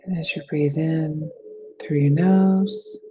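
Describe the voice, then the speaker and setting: A person leading a guided meditation in a soothing, unhurried manner. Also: medium pitch, fairly even intonation, highs cut off. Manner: soothing, unhurried phrasing with breathy releases.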